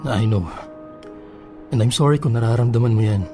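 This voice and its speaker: An actor playing a patient, apologetic boyfriend in a Filipino radio drama, speaking gently and reassuringly. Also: male voice, mid-range voice, moderate pace, lightly acted. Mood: soothing, apologetic. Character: soothing adult live-in boyfriend, patient and apologetic. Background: background music.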